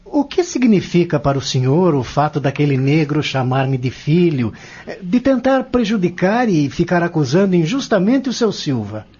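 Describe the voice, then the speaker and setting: High-voiced, earnest young man played by an actor. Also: sing-song, normal speed, darkish tone, slightly rough, muffled recording. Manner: polite, articulate, questioning. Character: earnest, curious young man seeking answers. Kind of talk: audio drama dialogue.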